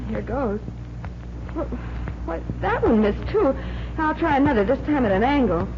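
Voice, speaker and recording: A woman's voice: sits high, expressive, unhurried. An American woman playing a plucky, teasing young magician's assistant with a breezy manner, in 1940s radio drama style. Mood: puzzled, eager. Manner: breezy, playful. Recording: muffled, hissy 1940s radio recording.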